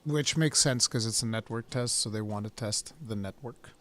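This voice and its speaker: A man's voice: lowish voice, moderate pace, fairly bright tone. A man in his forties chatting casually on a game stream, with a teasing, playful manner and frequent exclamations and swearing. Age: 40s. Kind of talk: casual chat, banter.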